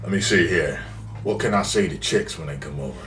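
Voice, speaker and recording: A man's voice: low voice, fairly quick, recorded from a distance, over music. A man hosting a casual unboxing video, chatting in a playful, slangy, exclamatory way. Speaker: video host. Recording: roomy, off-mic, faint noise.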